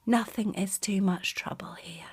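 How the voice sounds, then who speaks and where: A woman performing ASMR as a gentle, reassuring flight attendant, speaking softly with breathy whispers. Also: medium pitch, unhurried. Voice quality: soft, breathy whispering and hushed speech. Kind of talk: ASMR roleplay, personal attention.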